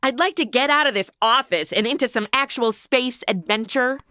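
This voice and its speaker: Sarcastic modern young woman, played by a woman, high and bright, quick wisecracks. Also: no room sound, studio quality. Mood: snarky. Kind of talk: comedy audio drama acting.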